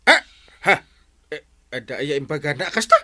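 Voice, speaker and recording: An actor playing an excitable, flustered village captain in a comedy radio drama, sputtering with an Ilocano accent. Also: male voice, a little high, moderate pace, exaggerated voice. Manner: sputtering, repeats hesitant syllables.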